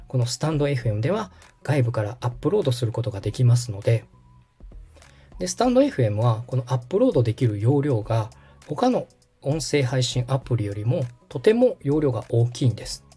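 A man's voice: mid-range voice, big pitch swings, fairly quick, dark tone, slightly rough, soft background music. A man hosting a step-by-step tutorial, explaining things politely and guiding the listener along. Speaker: podcast host and tutorial instructor, radio director.

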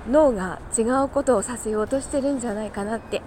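A woman chatting casually on her own like a morning podcast host, talkative and quick to laugh. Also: on the high side, darkish tone, slight background noise. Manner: chatty, laughs easily while talking. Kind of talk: casual solo morning chat.

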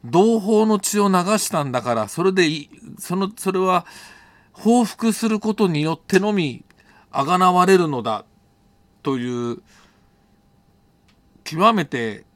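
A middle-aged man from Japan hosts a solo storytelling monologue, reflective and unscripted, pausing to think aloud and correct himself. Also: high voice, lively intonation, no room sound. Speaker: host telling personal stories on an audio show.

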